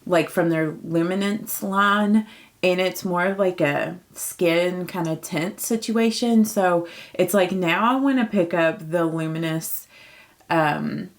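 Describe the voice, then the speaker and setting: A woman in her late thirties chatting casually through a makeup routine, upbeat and full of fillers, her voice a touch raspy from early morning. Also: on the low side. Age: 30s. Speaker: beauty vlogger. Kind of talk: casual get-ready-with-me chat.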